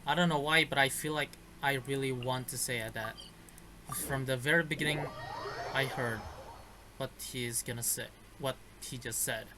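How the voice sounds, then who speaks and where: A man's voice: medium pitch, steady tone, normal speed, background noise. A man giving casual, off-the-cuff reaction commentary as he plays a video game. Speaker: game streamer.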